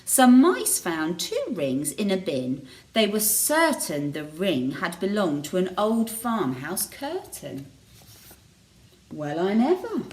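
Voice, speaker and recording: Slow, animated woman reading a story. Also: medium pitch. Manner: expressive, deliberate. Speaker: teacher reading a picture book to children. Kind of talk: storybook read-aloud with chatty asides.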